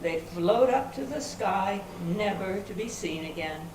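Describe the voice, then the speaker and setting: A woman's voice: mid-range voice, expressive, unhurried, close to an everyday voice. A woman playing a helpful, muddled, literal-minded friend who mishears, in amateur readers theater. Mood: puzzled, pleasant. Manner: innocent, puzzled. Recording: noisy echoey room from far off.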